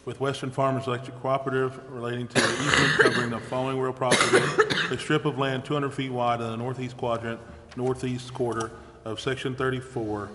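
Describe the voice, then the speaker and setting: A man's voice: medium pitch, flat delivery, a little fast, few pauses. A man speaking as a mayor chairing a formal council meeting. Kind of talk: formal meeting procedure and discussion. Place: council chamber. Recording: echoey hall, faint background noise.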